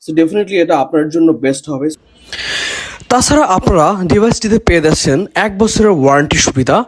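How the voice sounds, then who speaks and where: A man's voice: a little high, expressive, fairly quick. A man with a Bangladeshi accent presenting a product in a promotional voice-over, mixing in English phrases. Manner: mixes English phrases into speech. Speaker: product presenter for a gadget seller. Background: background music.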